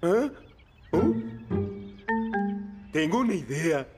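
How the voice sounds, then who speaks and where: A man's voice: a little high, big pitch swings, extremely slow, loud music behind the voice, full character voice. A man with a Latin American Spanish accent voicing a clumsy, easily flustered young firefighter in a cartoon dub, fretful and stammering.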